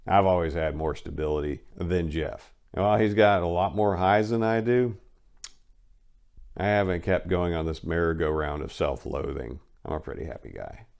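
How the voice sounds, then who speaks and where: A man with a very low voice reads a memoir aloud with dramatic weight. He pushes hard on the big phrases and then drops into quieter, offhand asides as he comments. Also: moderate pace, gravelly, clean tone. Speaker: narrator reading a memoir and commenting.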